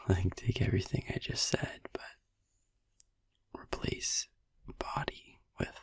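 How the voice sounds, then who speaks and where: A man playing a soothing vampire boyfriend in an ASMR sleep-aid roleplay, musing gently in a hushed, breathy whisper close to the microphone. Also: dry recording, close to an everyday voice. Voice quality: breathy whisper with gravelly creak. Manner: slow, hushed, gently musing with long pauses. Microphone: close microphone, dry.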